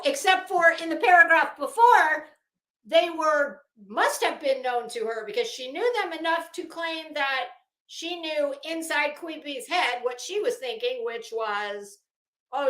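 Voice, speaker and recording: Woman, high-pitched voice, roomy echo, sarcastic rant, mocking read-aloud, halting emphasis, profane. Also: a bit bright. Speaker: commentary vlogger. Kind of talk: mocking dramatic reading with running commentary. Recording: roomy, echoing room sound.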